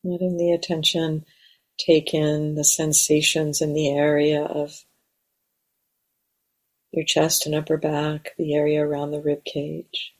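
A woman leading a guided meditation in a gentle, measured way, leaving long pauses. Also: deep voice, completely flat delivery. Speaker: meditation teacher. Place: meditation center.

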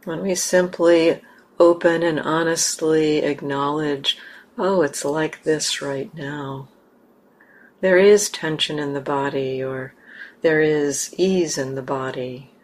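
A woman leading a guided meditation as a teacher, speaking gently and unhurriedly with long pauses. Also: sits low, fairly even intonation, slight background noise.

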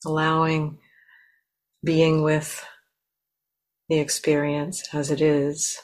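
A woman teacher leading a guided meditation in a gentle, unhurried way with long pauses between phrases. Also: low-pitched, completely flat delivery.

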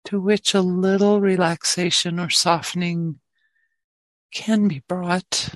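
A woman leads a guided meditation in a slightly low voice. She speaks very slowly and gently, leaving long pauses between phrases. The sound is dry, with hardly any room echo. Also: steady tone. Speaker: meditation teacher.

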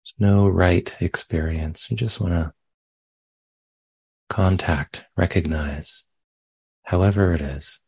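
A man leading a guided meditation as a teacher, speaking gently and unhurriedly with long pauses. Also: deep voice, gravelly, breathy edge, dry recording.

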